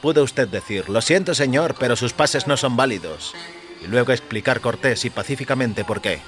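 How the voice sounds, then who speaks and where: A man's voice: medium pitch, animated, moderate pace, fairly bright tone, faint music behind, voice pushed up, lightly acted. A man voicing an indignant, articulate man, formal, emphatic and accusing, in an audio story. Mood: reproachful. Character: indignant, articulate dying man, morally outraged avenger. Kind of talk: dramatised short-story dialogue.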